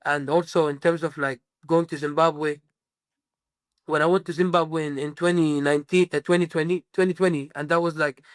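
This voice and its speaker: A man's voice: fairly high pitch, steady tone, normal speed, no room sound. A man from Sudan, a musician guest in a casual interview, rambling with many fillers and restarts.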